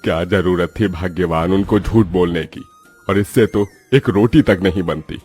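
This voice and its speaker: A kindly village father, played by a man, with a low and husky voice. He is mild and placating in cartoon fable style, over music. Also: normal speed, darkish tone. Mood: reproachful. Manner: soothing, placating. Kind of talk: Hindi cartoon moral story voice.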